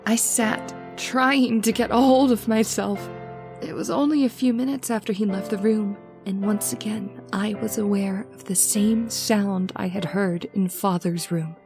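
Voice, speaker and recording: A woman's voice: mid-range voice, steady tone, unhurried, creaky, a little mellow, faint music behind. A woman playing an anxious, frightened daughter in an old-time radio horror drama, with dramatic, earnest delivery. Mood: tense recounting. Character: anxious, frightened daughter recounting her father's haunting.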